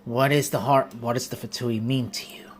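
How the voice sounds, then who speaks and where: A woman game streamer reading dialogue and commenting in a halting way on a noisy phone livestream. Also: extremely low pitch, fairly even intonation.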